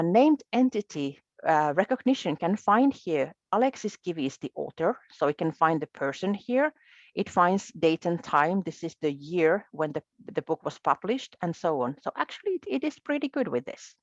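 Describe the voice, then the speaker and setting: A woman from Finland, a Finnish native speaker, walking through a software demo in a methodical, explanatory way. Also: fairly low pitch, no echo, lo-fi sound. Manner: explanatory, methodical, with small restarts. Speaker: presenter.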